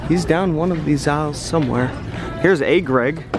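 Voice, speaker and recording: A man's voice: a little high, normal speed, music in the background. A man hosting a casual shopping vlog, chatting to viewers as he goes, recorded on a handheld camera. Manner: chatty, addresses viewers as guys. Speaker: vlog host and reseller on a thrifting trip. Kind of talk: casual running commentary while shopping. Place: thrift stores and parking lots. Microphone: handheld camera mic.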